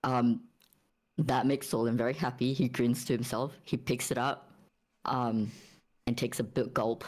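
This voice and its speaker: A woman narrating her character's moves casually as a tabletop player, with hesitant pauses. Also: rumbling low, flat delivery, a little mellow.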